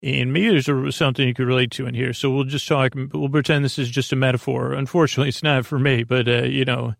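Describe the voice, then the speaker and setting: Male sleep podcast host, gravelly creak, close dry recording, halting whimsical meandering monologue. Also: medium pitch, flat delivery, no room sound. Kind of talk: rambling bedtime podcast monologue.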